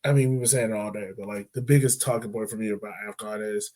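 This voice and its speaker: A man's voice: on the low side, fast. Casual talkative man in a sports chat. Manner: animated, loose with many fillers and restarts. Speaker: podcast co-host.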